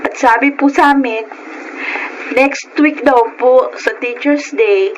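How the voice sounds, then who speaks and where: Friendly schoolgirl: Filipino, fairly high voice, radio drama acting, faint background noise. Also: steady tone, takes it slowly, poor audio. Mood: excited, admiring. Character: friendly, admiring young female student.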